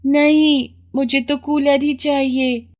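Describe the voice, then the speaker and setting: Casting: small girl, about six, high voice, whiny and insistent, for a cartoon moral tale played by an adult woman. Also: level tone, takes it slowly, no echo, muffled recording. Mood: stubborn. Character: pleading, insistent six-year-old girl, poor labourer's daughter. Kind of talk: animated moral story voice acting.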